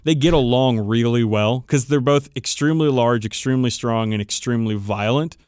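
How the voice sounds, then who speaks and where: A man's voice: medium pitch, normal speed, a little mellow, clean tone, no echo, studio quality. A man hosting a podcast, casually telling tabletop game lore with sweary enthusiasm. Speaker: podcast host. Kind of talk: casual chat about tabletop wargame lore.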